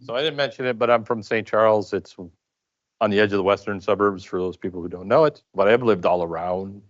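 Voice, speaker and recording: A man with a lowish voice talks fast as he casually hosts a group. The sound is dull and a little muffled, as in a meeting-room recording. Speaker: meetup co-host.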